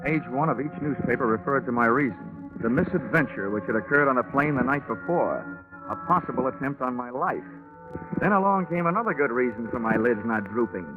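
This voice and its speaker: A man playing a wisecracking, sleepy insurance investigator in a deadpan 1940s radio detective drama style. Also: medium pitch, fairly quick, narrow, tinny audio, natural voice. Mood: wry narration. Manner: wry, deadpan. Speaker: narrator and lead detective character. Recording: band-limited vintage 1940s radio recording, music.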